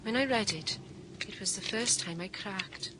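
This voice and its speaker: A woman's voice: medium pitch, normal speed, natural voice. Earnest, devoted woman over heavy noise, acted. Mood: tender, earnest. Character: devoted, earnest woman in love, pleading tenderly. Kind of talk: radio drama acting, reading a love letter. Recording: very noisy with clicks.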